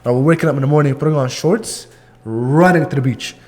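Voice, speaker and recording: A man in his twenties from Algeria co-hosting a podcast and telling stories in an animated way. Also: medium pitch, brisk, in a large hall. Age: 20s. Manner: animated storyteller, lively and laughing. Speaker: podcast co-host. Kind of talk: casual podcast chat.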